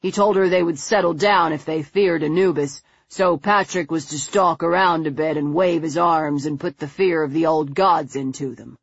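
Woman voicing an earnest, exasperated, husky boy. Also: sits low, moderate pace, hardly any pauses, dry recording, lo-fi sound, full character voice. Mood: resolute. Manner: emphatic, resolute. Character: earnest, grim, exasperated boy loyal to his friend.

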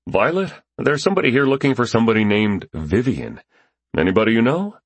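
A man's voice: sits low, sing-song, normal speed, no room sound, heavily acted. A man voicing an easygoing, joking family friend in an audiobook, casual and offhand. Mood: casual, puzzled.

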